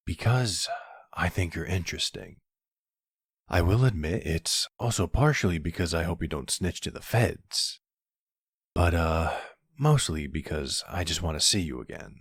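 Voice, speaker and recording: A man playing a charming, smooth-talking mafia boss in an ASMR roleplay, wry and flirtatious. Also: low-pitched, dry recording, studio quality, heavily acted. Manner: flirtatious, wry, exasperated with his cousin. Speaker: voice actor.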